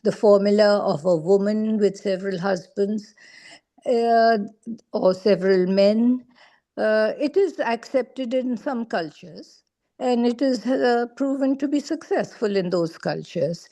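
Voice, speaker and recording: A woman giving her comments in an informal discussion, setting out points one by one with hesitant pauses. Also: mid-range voice, warm tone. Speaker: book club participant giving comments.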